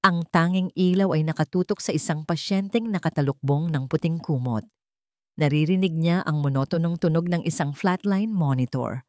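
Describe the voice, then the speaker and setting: A Filipino woman narrates a scripted drama in a fairly low voice. Her delivery is measured and deliberate, with dramatic weight. The recording is dry and close, with very little room sound. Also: a little mellow, no room sound.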